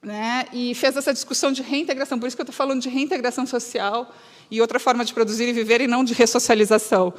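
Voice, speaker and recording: A woman with a southern Brazilian gaúcho accent speaks in a notably high voice. She lectures in an earnest, semi-spontaneous way, restarting phrases and pressing her points. Long reverberation surrounds her, as in a large hall. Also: fairly even intonation, quick pace. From Rio Grande do Sul, Brazil. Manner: earnest, emphatic, often breaking off and restating. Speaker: guest speaker. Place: reverberant hall, live talk to an audience.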